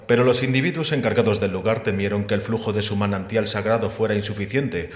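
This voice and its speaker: A man acting in a Spanish audio drama as a calm, sceptical, erudite figure, explaining in a measured, gently mocking way with rhetorical questions. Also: on the low side, natural voice. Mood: wry, disdainful. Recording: echoey hall with long reverb.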